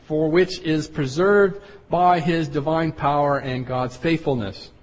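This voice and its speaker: Earnest male Bible teacher, slightly high voice, explains point by point; reverberant hall. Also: slightly rough. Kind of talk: Bible study sermon.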